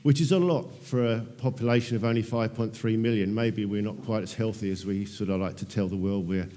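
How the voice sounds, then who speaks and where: A man in his fifties with an English accent, giving a relaxed technical conference talk full of ums and dry self-deprecating asides. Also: on the low side, a little fast. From England. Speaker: conference presenter. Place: large conference hall. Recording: long hall reverb.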